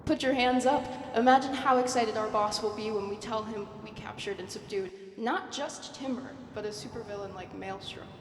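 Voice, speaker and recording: A woman's voice: fairly high pitch, normal speed, few pauses, close to an everyday voice. A woman playing a bold, indignant henchwoman on guard in a superhero radio drama. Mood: suspicious, commanding. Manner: excitable, confrontational. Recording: echoey hall, loud background noise.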